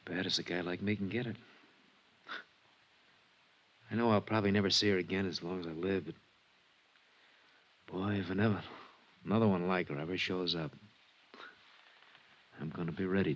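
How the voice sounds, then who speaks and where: Low-voiced, halting lovesick loser. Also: male voice, a bit bright. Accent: American. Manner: halting, wistful, slangy. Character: downhearted small-time male bandleader, lovesick loser. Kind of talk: 1950s radio drama acting. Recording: muffled vintage radio recording.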